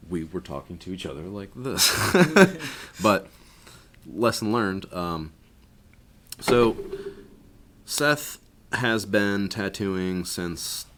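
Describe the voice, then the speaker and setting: A man's voice: deep voice, expressive, extremely slow, long pauses. A man hosting a casual podcast, talking loosely with lots of swearing and laughs, into a podcast mic. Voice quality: loud voice. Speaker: podcast host. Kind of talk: casual chat. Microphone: podcast microphone.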